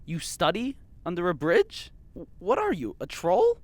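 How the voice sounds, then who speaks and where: A man's voice: high-pitched, wildly swooping pitch, measured pace, no room sound, exaggerated voice. An actor playing a skeptical, sarcastic young male reporter in an audio drama. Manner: dry, sarcastic.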